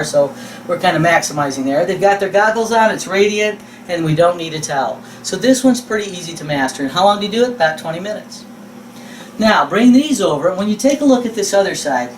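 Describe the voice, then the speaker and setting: A man teaching in a conversational lecture style, folksy and informal, stressing key words and posing rhetorical questions. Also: high-pitched. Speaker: instructor. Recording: roomy, distant mic, faint background noise.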